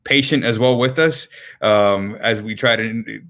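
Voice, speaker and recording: A man's voice: lowish voice, unhurried, a bit bright. A man hosting a live-streamed interview, talking in a friendly way with many ums and repeated words. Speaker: interview host.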